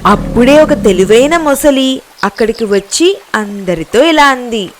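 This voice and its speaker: A woman's voice: on the high side, lively intonation, measured pace, noisy background. A woman narrator reading scripted children's moral stories.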